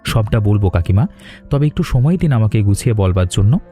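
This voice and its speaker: A low-leaning, very mellow male voice over light music. A man plays a young cartoon traveller who is courteous and reassuring, setting out each point earnestly and with measured politeness. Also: animated, natural voice. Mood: polite, serious. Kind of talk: cartoon drama dialogue. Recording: music under the voice.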